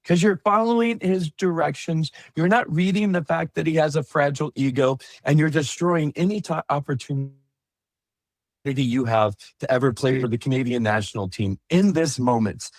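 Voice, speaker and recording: A man co-hosting a podcast, retelling a story in lively casual chat and acting out the people in it. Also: moderate pace, no echo. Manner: animated, acts out quoted voices with big pitch jumps. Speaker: podcast co-host.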